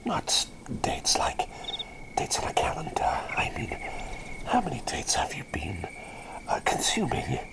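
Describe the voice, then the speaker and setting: An eccentric, excitable plague doctor played by a man murmurs in a breathy whisper for an ASMR roleplay. The delivery swoops widely up and down with a crackly fry at the edges. He is doting and easily sidetracked, politely repeating his phrases. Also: mid-range voice, a little slow. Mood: flustered, musing. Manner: rambling, easily distracted, repeats phrases, politely old-fashioned.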